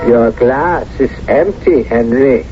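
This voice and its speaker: An actor in a 1970s radio drama playing a suave, menacing former collaborator, measured, deliberate and smug. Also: male voice, medium pitch, loud music behind the voice, close to an everyday voice. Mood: silky, probing. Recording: muffled, hissy old radio recording.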